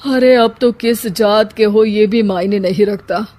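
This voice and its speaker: A woman's voice: medium pitch, a little slow, mellow, lightly acted. Bitter middle-aged father, scolding, storybook read by a woman.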